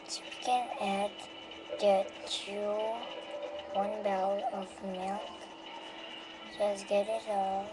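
A woman hosting a homemade cooking show, narrating each step slowly and haltingly. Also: medium pitch, little pitch movement. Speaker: home cooking show host. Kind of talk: casual cooking demonstration. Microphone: webcam microphone. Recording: noisy webcam recording.

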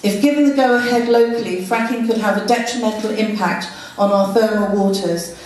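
A woman's voice: mid-range voice, moderate pace, darkish tone, a little hiss or hum. A woman, a parliamentary candidate, reading a prepared opening statement at a public meeting, a little hesitant at times. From England. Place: meeting room with audience. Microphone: far room camera. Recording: echoey room from far off.

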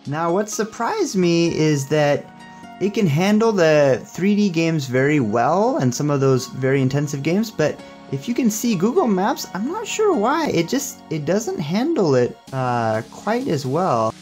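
A man's voice: a little high, very animated, a little slow, a little mellow, faint music behind. A young man giving a casual, upbeat hands-on tech demo and tutorial in a conversational, explanatory way. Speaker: tech reviewer and presenter.

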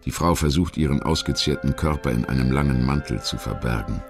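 Very deep-voiced man narrating. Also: over music. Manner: measured, formal storytelling delivery. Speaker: documentary narrator. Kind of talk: scripted biographical narration.

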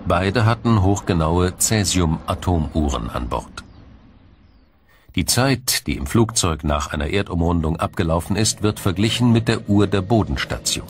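A man with a low-pitched voice narrates a documentary from a script. His delivery is measured and explanatory, and faint noise sits behind the voice. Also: animated.

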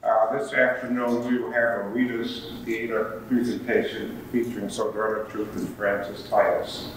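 A man gives a friendly, slightly halting welcome to an audience. His voice carries through a very echoey hall, caught from a distant camera with clear room noise behind him. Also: on the low side, flat delivery. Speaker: museum board chairman. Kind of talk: event welcome speech. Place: museum hall, indoors. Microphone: far camera.

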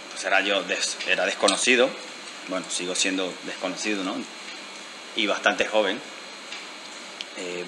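A man's voice: deep voice, background noise. A man, the guest in an interview, speaking thoughtfully with hesitant restarts. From Venezuela. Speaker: guest artist. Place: lounge bar indoors. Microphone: handheld mic.

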